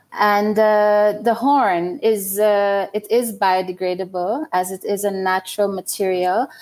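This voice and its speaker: A woman's voice: mid-range voice, a little mellow. A middle-aged woman from Guyana giving thoughtful, explanatory interview answers in a conversational style.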